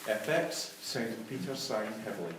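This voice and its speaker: A man's voice: lowish voice, animated, moderate pace. A man reading stage directions as scripted narration for a drama. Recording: very echoey, distant, faint noise.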